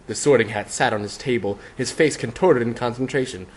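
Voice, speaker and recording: A man's voice: mid-range voice, fairly quick, a little hiss or hum. A man narrating fan fiction as a mock-dramatic reading. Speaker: narrator, reader.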